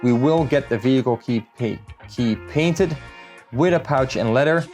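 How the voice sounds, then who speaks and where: A man's voice: mid-range voice, normal speed, darkish tone, faint music behind. A man from the Netherlands, talking through a car build in a casual, matter-of-fact vlog style as a salesman would.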